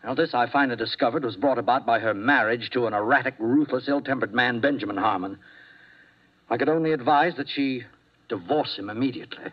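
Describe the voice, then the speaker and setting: A man's voice: medium pitch, a little fast, close to an everyday voice. A man playing a flustered, nervous doctor. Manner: hesitant, flustered, formal. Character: nervous fussy middle-aged doctor. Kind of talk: 1950s radio acting. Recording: muffled old radio broadcast.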